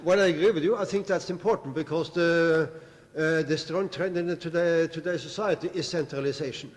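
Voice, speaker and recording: A man's voice: on the high side, fairly quick. A man on a debate panel, building a reasoned, argumentative case in reply to a question. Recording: hall reverb at a festival debate.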